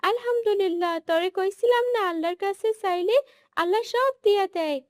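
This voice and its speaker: A woman's voice: extremely high pitch, moderate pace, dry recording, clean studio sound, pitched above the performer's own voice. A woman voicing an earnest, devout young village girl in a cartoon, with a rural Bangladeshi accent. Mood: earnest, hopeful.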